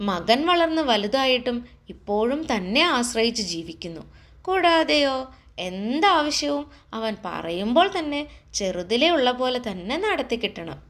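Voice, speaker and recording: A woman narrating a children's story expressively, with dramatic pauses and questions put to the listener. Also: medium pitch.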